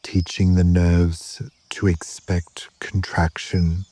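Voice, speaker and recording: A man guiding a meditation in a calm, measured way, with short phrases, long pauses and a soft, at times breathy voice. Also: low voice, slight background noise. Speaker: yoga nidra meditation guide. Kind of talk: slow guided meditation narration.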